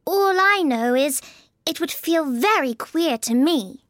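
A man's voice: very high voice, lively intonation, takes it slowly, fairly bright tone, no echo, clean studio sound, voice pushed up, exaggerated voice. A man voicing a shy, polite, flustered little girl in a storybook reading, speaking hesitantly. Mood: politely earnest.

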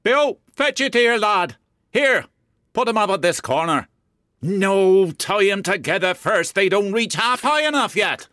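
A narrator voicing a brisk male animal workman in a storybook, handing out orders in rustic speech. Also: mid-range voice, very animated, fairly bright tone, no echo, clean studio sound, pitched above the performer's own voice. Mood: brisk, bossy. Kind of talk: storybook character voice.

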